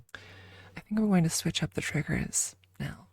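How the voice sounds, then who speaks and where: Low, husky, hushed ASMR woman. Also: a little slow. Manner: hushed, often slipping into whisper. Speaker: ASMR streamer. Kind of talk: soft-spoken ASMR storytelling. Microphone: close ASMR microphone.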